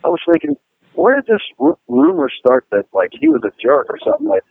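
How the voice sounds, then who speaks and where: A man from Pittsburgh, a guitar-playing guest, telling rambling, animated stories with lots of fillers. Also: very high voice, brisk, gravelly, slightly rough, no room sound. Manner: rambling, animated, fillers, laughs at own jokes. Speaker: guest musician, guitar player. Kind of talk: casual storytelling interview. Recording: tinny phone-line sound, dry.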